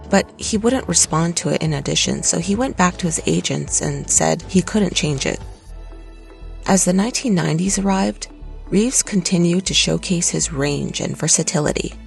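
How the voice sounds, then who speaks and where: A narrator reading a scripted documentary in a steady, even way. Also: medium pitch, moderate pace, vocal fry. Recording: light music underneath.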